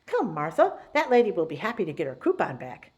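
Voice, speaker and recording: A woman's voice: on the low side, huge pitch swings, brisk, a little mellow, breathy edge, full character voice. A woman reader voicing a young storybook girl, eager and a little nervous, with earnest childlike exclaiming. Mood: cautious chatter.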